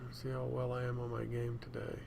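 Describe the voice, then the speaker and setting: A man presents a software demo in a casual, rambling way, thinking aloud as he goes. His medium-pitched voice has some creak. Faint room noise and keyboard typing sit behind him. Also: completely flat delivery, fairly quick. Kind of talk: casual screencast demonstration. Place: indoors at a computer.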